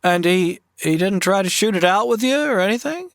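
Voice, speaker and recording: A man playing a soft-spoken gravelly Western drifter. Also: sits high, lively intonation, no room sound, clean studio sound, exaggerated voice. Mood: wry. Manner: folksy, halting drawl. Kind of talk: comedy audio drama acting and narration.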